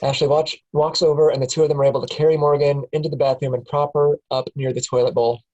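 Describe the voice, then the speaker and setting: A woman's voice: low voice, little pitch movement, fast talker, warm tone, highs cut off. A woman reading stage directions aloud in a casual table read, often breaking into laughs.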